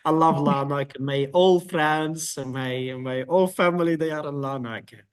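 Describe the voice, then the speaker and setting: Slow, hesitant Kurdish-born man. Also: fairly high pitch, fairly even intonation, smooth. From Kurdistan, northern Iraq. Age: 20s. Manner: halting, many fillers and restarts. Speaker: guest. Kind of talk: casual interview talk.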